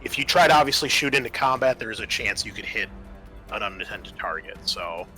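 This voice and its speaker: Bright-voiced man running a tabletop game. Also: lowish voice, expressive, brisk, faint music behind. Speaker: game master. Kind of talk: narrating and explaining rules of a tabletop roleplaying game.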